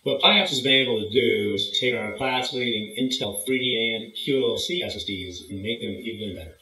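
Upbeat guy on a webcam call, sharp bright voice, roomy echo. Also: lowish voice, normal speed, slight background noise. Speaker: partner company representative. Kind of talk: prepared endorsement to camera. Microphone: webcam video call framing. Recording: echoey room.

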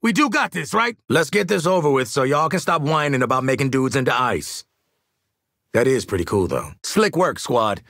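A male actor playing a sardonic, confident mercenary marksman in a video game, with an American accent, husky voice and blunt, commanding manner. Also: mid-range voice, expressive, moderate pace, a bit bright, no room sound, studio quality. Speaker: squad fighter. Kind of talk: video game combat voice lines.

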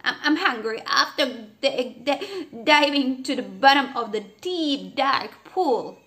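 A woman voicing a polite male talking frog in a storybook reading, slow and coaxing. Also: sits high, lively intonation, a bit bright, pitched above the performer's own voice.

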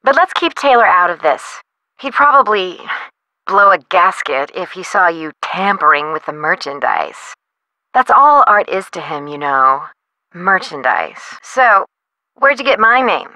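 A woman's voice: fairly low pitch, big pitch swings, unhurried, bright, forceful tone, no room sound, exaggerated voice, poor audio. An American woman voicing a young artist in a video game, flippant and theatrical, with breezy slang. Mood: conspiratorial, breezy, then teasing, excited. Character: flippant, dramatic young bohemian female artist. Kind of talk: video game character dialogue.

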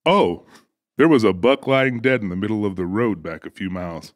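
Low-voiced, lively, folksy trucker played by a man. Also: moderate pace, dry recording, clean studio sound, lightly acted. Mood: folksy, easygoing. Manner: folksy, teasing, colloquial. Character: easygoing, sassy male trucker with a joking temper. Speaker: voice actor in an audiobook. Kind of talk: dramatized audiobook dialogue.

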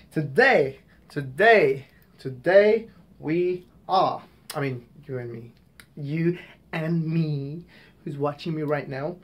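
Chatty young man vlogging to camera. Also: fairly high pitch. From Hong Kong. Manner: chatty, repeats words, addresses viewers as guys. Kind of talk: casual vlog to camera. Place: small room indoors, then outdoor walkway. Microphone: handheld camera at arm's length.

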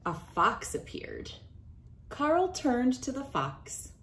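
Female storybook narrator, thirties: expressive, slow-paced, very varied intonation. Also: sits high, slight background noise. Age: 30s. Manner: expressive, deliberate storytelling delivery. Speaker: children's book reader. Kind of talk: read-aloud storybook narration. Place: small wood-panelled room indoors.

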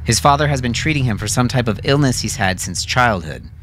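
A man voicing a brisk, businesslike military captain who gives clipped, authoritative orders in an audio drama. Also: mid-range voice, expressive, a bit bright, slight background noise, pitched above the performer's own voice, lightly acted.

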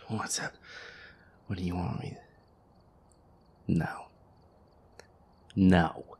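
A man playing a feisty, sweary werewolf boyfriend who grumbles his way through a horror game in an audio roleplay, his voice breathy and gravelly. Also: low voice, steady tone, drawn-out words, darkish tone, in a whisper, heavily acted. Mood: exasperated, reluctant. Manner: exasperated, sweary grumbling, reading game text aloud. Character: feisty werewolf boyfriend, grumpy, sweary, easily scared gamer.

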